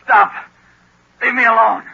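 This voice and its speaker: An actor playing a tormented, earnest young lieutenant in an old radio drama, speaking haltingly and desperately. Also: male voice, extremely high pitch, fairly even intonation, gravelly, slight background noise, heavily acted. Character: tormented young male lieutenant freed from a spell. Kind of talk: 1930s-40s radio drama acting. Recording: muffled old radio broadcast recording.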